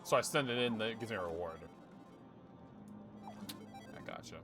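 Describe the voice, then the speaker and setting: A man's voice: fairly low pitch, lively intonation, moderate pace. A young man casually commenting on his gameplay, thinking aloud, swearing and chuckling often. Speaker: game streamer. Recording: game music behind.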